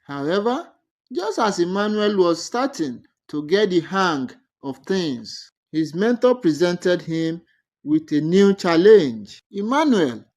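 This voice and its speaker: Slow, expressive storyteller reading a folktale. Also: medium pitch. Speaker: storyteller, narrator. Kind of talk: scripted folktale narration.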